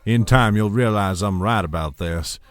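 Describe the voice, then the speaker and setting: Man playing smooth scheming patriarch, controlled and condescending. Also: on the low side, moderate pace, dry recording, close to an everyday voice. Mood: cold, menacing. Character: smooth, scheming, domineering father and blackmailer. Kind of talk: audio drama acting.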